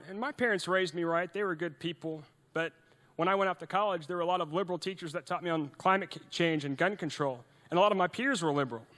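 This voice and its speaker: A man's voice: sits high, fairly bright tone. A young man born in Norway, giving a rousing, earnest speech to a youth audience at an event, with rhetorical questions and frequent restarts. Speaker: event speaker. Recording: long reverb of a large hall.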